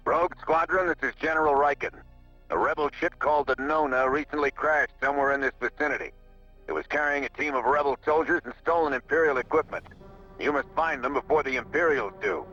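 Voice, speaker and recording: An actor playing a steady, commanding rebel general briefing his pilots in a military style, in a video game. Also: fairly high pitch, brisk, no room sound. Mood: firm, briefing. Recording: dull lo-fi game audio, faint music behind.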